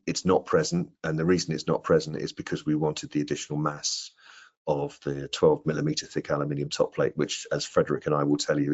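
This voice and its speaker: A man's voice: rumbling low, darkish tone. A middle-aged man answering technical questions informally on a video call, often repeating words as he explains. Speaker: product presenter answering audience questions. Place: indoor room on a video call. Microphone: video call microphone. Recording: dull, band-limited video-call audio.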